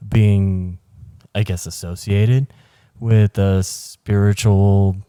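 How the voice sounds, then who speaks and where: A man's voice: sits low, mellow, no room sound, clean studio sound. A man in his thirties co-hosting a podcast in casual chat, hesitant, with many uhs and restarts. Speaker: podcast co-host.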